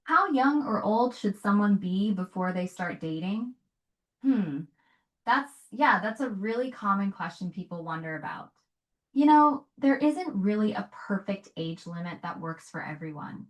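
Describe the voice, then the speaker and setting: Calm measured woman giving advice. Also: mid-range voice, low-quality recording. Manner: calm, measured, paraphrases and asks follow-up questions. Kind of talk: conversational advice.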